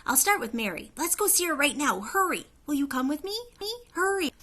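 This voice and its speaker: A woman voicing an eager, wonderstruck young woman in a children's storybook, talking in an excitable, breathless manner. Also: high voice, brisk, voice pushed up. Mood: eager, urgent. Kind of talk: children's storybook read-aloud.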